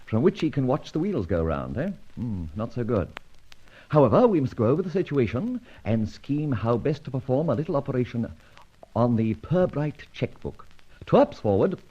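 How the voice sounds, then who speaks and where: A dull, lo-fi old radio recording carries a suave British gentleman adventurer. His voice is slightly husky and swings dramatically in pitch. He talks in flippant, jaunty old-fashioned slang, always wry and sure of himself. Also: sing-song, normal speed. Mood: jaunty, scheming. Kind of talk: old-time radio detective drama acting.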